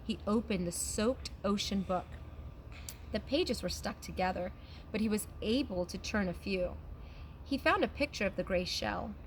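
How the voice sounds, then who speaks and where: A woman reads a children's storybook aloud like a teacher addressing young listeners, in an animated manner. Her pitch is average, and she is outdoors with some noise around her. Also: moderate pace, poor audio. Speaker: teacher reading aloud to pupils. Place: outdoors on a trampoline. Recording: background noise, outdoor ambience.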